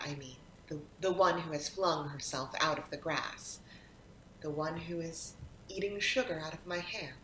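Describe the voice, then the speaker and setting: A woman reciting poetry expressively, in a deliberate manner with dramatic pauses. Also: a bit bright. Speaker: poetry reader, actor. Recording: roomy echo, faint rumbling background noise.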